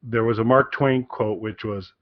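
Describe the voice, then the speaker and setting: A man's voice: lowish voice, fairly even intonation, no room sound. Man podcasting in a dry, slightly dull recording. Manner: rambling, halting, repeats phrases. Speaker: podcast co-host. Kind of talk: casual podcast recommendation chat.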